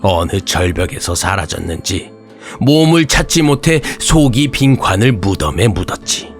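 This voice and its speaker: A man telling a scripted horror folktale as an old-fashioned raconteur, with dramatic pauses and archaic turns of phrase. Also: sits low, very animated, faint music behind. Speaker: horror radio storyteller.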